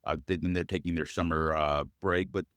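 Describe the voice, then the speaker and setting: Deep, loud-voiced man. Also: steady tone, dry recording. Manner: earnest, measured, addresses host by name often. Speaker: guest. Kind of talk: interview conversation.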